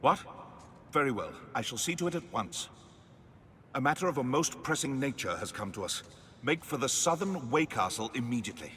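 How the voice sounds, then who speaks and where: A man's voice: medium pitch, big pitch swings, normal speed, fairly bright tone. A man playing a dutiful court official in a fantasy game, speaking in an officious, formal, archaic manner. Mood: urgent formal. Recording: long echoing hall, faint noise.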